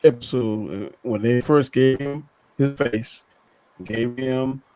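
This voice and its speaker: A man with a medium-pitched voice chats as a panelist on a review show. His talk is colloquial and loose, and he tells stories by acting out what characters say. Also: slow, mellow, clear, resonant tone, poor audio. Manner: colloquial, acts out characters' lines. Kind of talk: casual storytelling chat.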